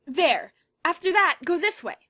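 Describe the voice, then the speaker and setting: A woman playing a headstrong, sharp-tongued teenage schoolgirl leader with a brash, commanding manner in an audio drama. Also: extremely high pitch, very animated, a little slow, no room sound, studio quality, full character voice. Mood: bossy.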